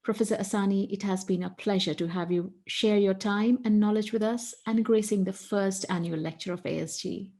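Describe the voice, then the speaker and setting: A woman's voice: mid-range voice, fairly even intonation, normal speed, hardly any pauses, dark tone. Polite woman moderating a webinar. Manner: polite, formal. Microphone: webinar call.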